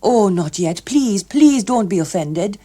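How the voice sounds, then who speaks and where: A woman's voice: mid-range voice, animated, measured pace, mellow, pitched above the performer's own voice. A woman voicing an earnest, lovesick, self-pitying man with a hesitant, pleading manner in an audiobook.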